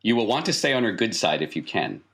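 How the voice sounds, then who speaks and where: A man's voice: fairly low pitch, very animated, fast, fairly bright tone. A man acting as game master, narrating and explaining an improvised tabletop roleplaying game.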